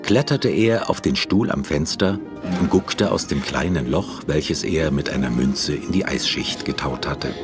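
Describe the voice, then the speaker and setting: An actor narrating a fairy tale audio drama in a measured, expressive, literary manner. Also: male voice, rumbling low, over loud music, full character voice. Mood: storytelling.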